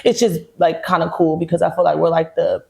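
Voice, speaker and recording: A woman's voice: lowish voice, level tone, fairly quick, vocal fry, warm tone, a little hiss or hum. A young woman from Boston, a singer-songwriter guest, talking in a laid-back, slangy, joking way. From Boston, Massachusetts. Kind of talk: casual interview chat.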